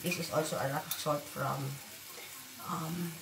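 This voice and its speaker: Woman, Filipino accent, native Waray speaker, low voice, blunt, swears, rambling home cooking vlog, far from mic, loud frying noise. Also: fairly even intonation, unhurried. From Philippines. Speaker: vlogger. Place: home kitchen. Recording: echoey room from far off, loud sizzling.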